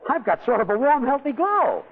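An actor playing a grumpy husband getting tipsy on medicine in a 1940s radio play. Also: male voice, extremely high pitch, sing-song, brisk, heavily acted. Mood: tipsy, merry. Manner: gruff then slurring and merry. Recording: tinny 1940s radio broadcast, faint hiss.

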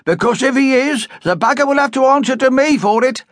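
A man's voice: extremely high pitch, a little fast, no room sound, clean studio sound, voice pushed up. A man voicing a stout, bossy middle-aged innkeeper's wife, blunt and scolding in earthy dialect. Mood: coaxing.

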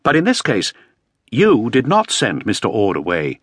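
A man's voice: mid-range voice, huge pitch swings, moderate pace, husky, dry recording, studio quality, pitched above the performer's own voice. A man doing an impatient, probing questioner. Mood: insistent.

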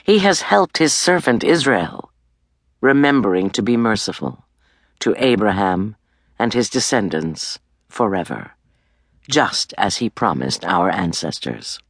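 A woman's voice: extremely low pitch, big pitch swings, no room sound, clean studio sound, pitched below the performer's own voice, heavily acted. Woman reading as reverent, humble young maiden. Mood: reverent praise. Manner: reverent, measured, lyrical recitation. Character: humble, devout young virgin praising God.

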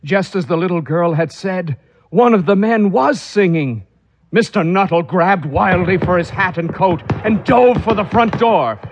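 A man's voice: high-pitched, lively intonation, unhurried, slightly rough. A man telling a ghost story as a dramatic scripted narrator. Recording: dull, muffled old record.

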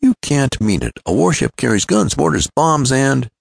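A man's voice: fairly low pitch, sing-song, normal speed, creaky, no room sound, voice pushed up, full character voice. A man voicing an eager, joking young male friend of an inventor in an adventure storybook, breezy, exclamatory and full of questions. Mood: surprised, eager.

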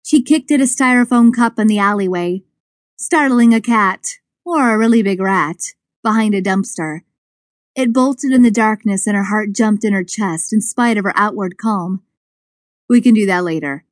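A woman reading scripted suspense fiction as an audiobook narrator, with expressive storytelling. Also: medium pitch, normal speed, no echo, studio quality. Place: dry studio.

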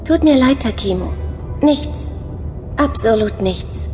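A lonely ship's computer. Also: female voice, on the high side, expressive, slow. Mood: troubled. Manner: soft-spoken, earnest, searching. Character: female onboard computer, lonely, longing for a body. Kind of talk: audio drama acting. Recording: music underscore behind voice.

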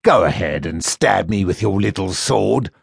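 A man's voice: deep voice, lively intonation, gravelly, slightly rough, no room sound, clean studio sound, full character voice. A man voicing a cruel, mocking male ship's first mate who taunts his captives. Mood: taunting. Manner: taunting, mocking, drawn-out menace. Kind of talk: audiobook character voice.

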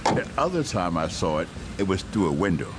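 An actor playing a laid-back bar owner in a radio drama, talking in a casual, understated, slightly hesitant way about a worry for a friend. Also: male voice, low voice, big pitch swings, a little fast. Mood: concerned, earnest. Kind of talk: modern radio drama acting. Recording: loud background music and ambient noise. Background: music and ambient noise.

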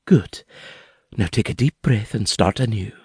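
A man voicing a loving young pregnant Highland wife, speaking softly and soothingly in a raised voice. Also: a little slow, breathy edge, dry recording, studio quality, heavily acted. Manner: soft, soothing.

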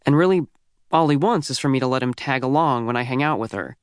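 A man's voice: medium pitch, fast, clean tone, dry recording. Wry American man narrating, dry recording. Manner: youthful, wry, conversational. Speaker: audiobook narrator. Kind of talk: first-person teen novel narration.

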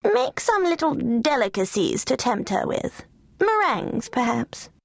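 A woman's voice: fairly high pitch, big pitch swings, measured pace, vocal fry, dry recording, studio quality, voice pushed up, exaggerated voice. A woman voicing a grand, fussy elderly duchess with a plummy, fluting manner.

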